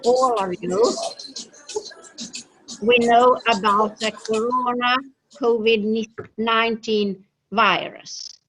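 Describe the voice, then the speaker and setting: A woman's voice: fairly high pitch, fairly bright tone, a little hiss or hum. A woman with a Swedish accent moderating an online seminar over a video call, hesitant and full of filler sounds. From Sweden. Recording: dull lo-fi video call audio.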